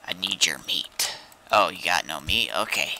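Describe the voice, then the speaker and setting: A man's voice: low-pitched, moderate pace, creaky, sharp tone. A man chatting casually and muttering to himself as he plays a game on stream. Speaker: streamer playing a zombie survival game. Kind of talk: casual gaming chat.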